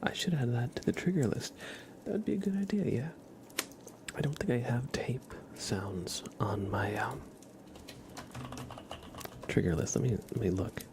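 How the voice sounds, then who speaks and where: A soft-spoken man hosting an ASMR livestream, gently chatting with viewers and often slipping into a whisper, close on the mic. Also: medium pitch, measured pace, long pauses, background noise. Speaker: livestream host. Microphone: close ASMR microphone.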